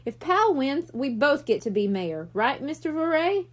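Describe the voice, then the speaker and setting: Woman reading as a sensible, eager young boy, lively pitch. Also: moderate pace. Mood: assertive. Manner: explanatory, assertive. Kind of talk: children's audiobook character voice.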